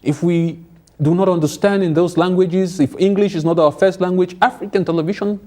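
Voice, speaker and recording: A man's voice: high-pitched, fairly even intonation, brisk, a little mellow. A man on a television panel, speaking earnestly and urging his audience to stay informed. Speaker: panel guest. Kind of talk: panel discussion.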